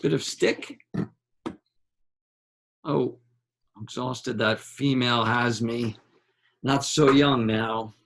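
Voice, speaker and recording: Man, literary reading, slow delivery, gravelly vocal fry, measured phrasing, deliberate pauses. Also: medium pitch, fairly bright tone. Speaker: reader of a literary novel. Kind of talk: dramatic literary reading aloud.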